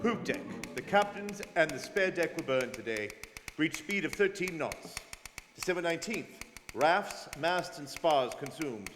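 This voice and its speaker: A man's voice: on the high side, expressive, a little slow, music in the background. A man playing a stern, dogged detective who narrates a radio drama in an emphatic, clipped style. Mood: suspicious, reporting. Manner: emphatic, telegraphic. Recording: hall reverb, faint noise, community studio.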